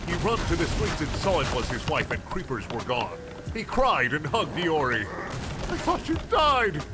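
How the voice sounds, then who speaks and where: A man's voice: on the high side, very animated, moderate pace. A man reading bad fan fiction aloud as a comic drama, mock-earnest and exaggerated, with sudden outbursts. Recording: loud music behind the voice.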